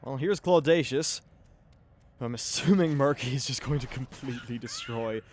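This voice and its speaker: A man's voice: mid-range voice, moderate pace, darkish tone, a little hiss or hum. A man giving casual, off-the-cuff video game commentary as host, chatty and wry, with some swearing.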